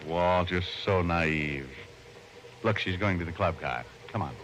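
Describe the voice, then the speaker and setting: Low-voiced wisecracking radio private eye. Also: male voice, measured pace. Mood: teasing. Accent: American. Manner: glib, teasing, wry. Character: wisecracking flirtatious male private detective, breezy and teasing. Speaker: private detective and narrator. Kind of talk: 1940s-50s American radio detective acting. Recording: noisy old-time radio recording.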